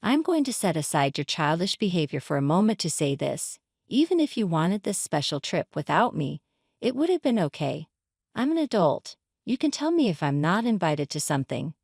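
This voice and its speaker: Female narrator as hurt, indignant wife, pleading yet confrontational, animated intonation, dry story recording. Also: lowish voice, moderate pace, breathy edge, no room sound, heavily acted. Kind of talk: dramatized story reading.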